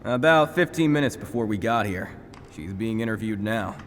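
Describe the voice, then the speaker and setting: A man's voice: on the low side, expressive, normal speed, lightly acted. An actor playing a jaded male police detective in an audio drama, plain-spoken and matter-of-fact. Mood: informative. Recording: long hall reverb, background ambient noise.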